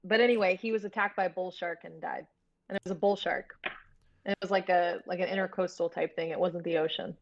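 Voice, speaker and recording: Casual female guest, slightly low register, slangy chat, dull lo-fi recording. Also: fairly even intonation, fast. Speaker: podcast guest. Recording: dull lo-fi stream audio.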